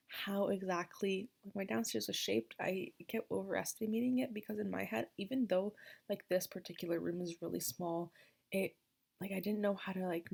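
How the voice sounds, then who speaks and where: Young Canadian woman, casual rambling voiceover, gravelly fry, husky tone. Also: mid-range voice, darkish tone. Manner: rambling, filler-heavy, self-deprecating. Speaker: gaming vlogger. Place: her bedroom in a basement.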